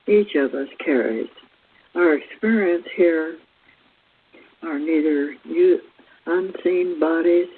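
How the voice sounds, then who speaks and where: A woman hosting and reading a spiritual book aloud in a deliberate, halting way, stumbling here and there. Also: low voice, slight background noise. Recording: thin phone-like radio audio.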